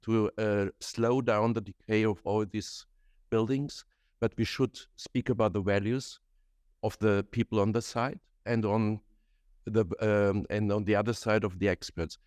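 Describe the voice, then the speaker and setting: A man asking a question from the audience at a conference, measured and with some fillers. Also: on the low side, steady tone, a little mellow, clear, resonant tone, dry recording, studio quality.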